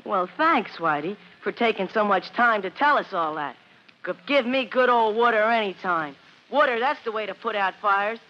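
A man's voice: high voice, expressive, moderate pace. A male performer playing a bossy, exasperated schoolboy who chairs a club meeting in an old-time radio drama. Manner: blustering, indignant. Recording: dull vintage radio recording.

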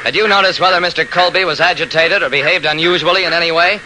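An actor playing a brisk, methodical railroad detective who narrates his case in an emphatic old-time radio mystery style. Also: a little high, close to an everyday voice. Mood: probing, brisk. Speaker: narrator and lead investigator. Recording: noisy old radio drama recording.

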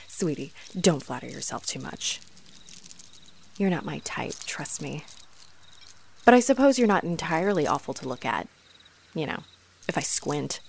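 Woman playing a sarcastic, swooping, low-voiced female vampire. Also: creaky, a little hiss or hum, heavily acted. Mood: teasing. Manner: mocking, sardonic, theatrical taunting. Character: trapped female vampire, aggravated, sarcastic, haughty and flirtatious. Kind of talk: scripted audio roleplay monologue.

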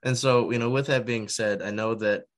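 A man's voice: medium pitch, fairly even intonation, moderate pace. A man hosting a sports podcast, asking long, rambling interview questions full of fillers. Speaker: podcast host.

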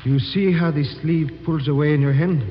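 A male actor plays a stern police lieutenant, brisk and officious, choosing formal, exact words. The voice is on the higher side. The old radio drama recording is dull with faint noise. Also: fairly even intonation, lightly acted. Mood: formal, grave.

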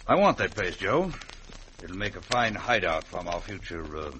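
Suave English gentleman villain, low voice with theatrical swoops, pompous and cold, vintage radio western with clear noise. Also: big pitch swings, moderate pace. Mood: smug, mock-genteel. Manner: pompous, mock-genteel formal speech. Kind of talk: old-time radio western acting. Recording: noisy vintage radio recording.

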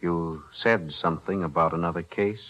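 An actor playing a calm, principled lawyer who speaks in a measured, steady way in a 1940s radio drama. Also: male voice, sits low. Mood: composed. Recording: dull lo-fi old radio broadcast.

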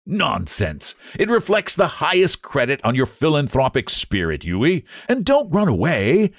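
Jovial, teasing gentleman friend, bright and bouncy, high and lively as a man reads him; dry sound. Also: unhurried, no echo, exaggerated voice. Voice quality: hearty, teasing.

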